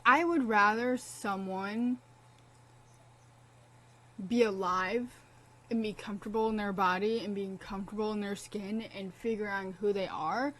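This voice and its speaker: A woman's voice: mid-range voice, a bit bright, low-quality recording. Blunt, swearing young woman streamer. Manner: blunt, profane and impassioned. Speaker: game streamer. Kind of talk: casual gameplay commentary.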